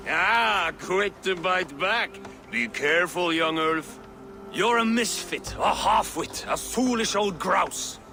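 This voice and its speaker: A man's voice: sits high, expressive, slow pace, bright, forceful tone, soft background music, heavily acted. A man playing a boastful, jovial Viking verse duellist in a video game, theatrical and sporting.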